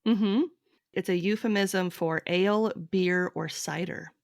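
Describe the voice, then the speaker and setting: A woman host runs a friendly quiz in a slow, unhurried rhythm. Her voice is low and gravelly, with some vocal fry. The sound is dry and close, with no room around it. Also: no room sound. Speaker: podcast host. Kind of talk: casual quiz chat.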